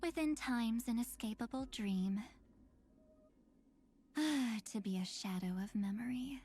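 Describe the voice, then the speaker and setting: An actress playing a wistful, enigmatic young woman made of memory in a video game, speaking theatrically with breathy sighing phrases. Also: a little high, expressive, measured pace, darkish tone. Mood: wistful, mysterious. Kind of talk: scripted game character voicelines.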